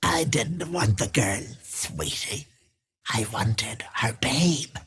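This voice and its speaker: Evil old hag from a video game, super raspy, swoopy voice, sickly sweet but threatening. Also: female voice, extremely low pitch, expressive, slow pace, fairly bright tone, exaggerated voice. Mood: mock-sweet, teasing. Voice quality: husky, raspy cackling old voice. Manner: mock-sweet endearments, taunting, menacing. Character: gleefully malicious old hag, sweet-talking folksy granny witch. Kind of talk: theatrical video game character acting.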